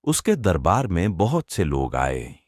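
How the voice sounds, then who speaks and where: A man narrating a scripted children's story as an expressive storyteller. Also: low-pitched, unhurried, no echo, studio quality.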